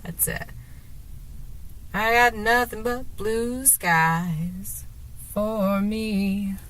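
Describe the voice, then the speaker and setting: A woman with a sore throat and a gravelly, deeper voice, rambling hesitantly to the camera from her car, with many fillers and restarts. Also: extremely slow, fairly bright tone. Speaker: vlogger. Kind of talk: rambling casual monologue while driving.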